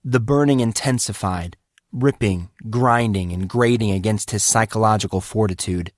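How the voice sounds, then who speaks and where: A man's voice: fairly low pitch, normal speed, dry recording, clean studio sound. A man giving dramatic, intense scripted narration of a story. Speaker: audiobook narrator.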